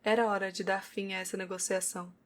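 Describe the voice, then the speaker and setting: Even-toned Brazilian woman narrator. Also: mid-range voice, fast. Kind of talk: scripted audio novel narration.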